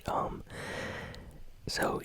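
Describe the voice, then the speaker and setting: A gaming news host whispering a casual ASMR news roundup, chatty and unhurried with frequent fillers.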